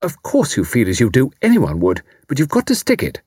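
A man's voice: mid-range voice, wildly swooping pitch, a little fast, a little mellow, husky, no room sound, clean studio sound, pitched above the performer's own voice. A man voicing a sensible, kindly British woman, robust and earnest in her reassurance. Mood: firm encouragement. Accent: British English. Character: brisk, kindly, sensible Englishwoman in wartime.

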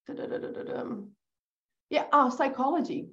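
A woman from Brazil with a Brazilian accent, a guest telling her story in a relaxed podcast interview, laughing easily and restarting her sentences. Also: high voice, moderate pace, muffled recording. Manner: chatty, laughs often, restarts. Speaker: guest, agency founder.

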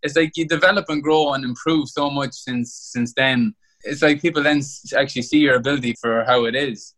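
A young man with an Irish accent talks at an easy, rambling pace about his life playing football abroad. He often restarts mid-phrase. His voice sits at an ordinary pitch for a man. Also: steady tone, fairly quick, fairly bright tone. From Ireland. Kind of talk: interview over a call.

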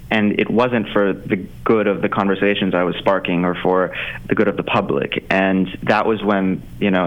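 A young man whose first language is Farsi, answering interview questions in an earnest, reflective way, with frequent fillers and restarts. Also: low-pitched. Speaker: author and entrepreneur guest. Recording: slightly dull lo-fi audio.

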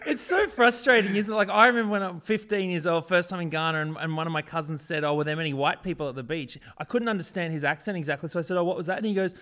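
Australian man, high-pitched voice, casual reflective chat, emphatic, points built up in broken phrases. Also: fast talker, few pauses, bright, forceful tone.

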